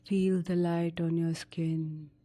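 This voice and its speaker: A woman narrating a guided sleep meditation in a soothing, unhurried manner with long pauses between short instructions. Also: fairly low pitch, flat delivery, mellow.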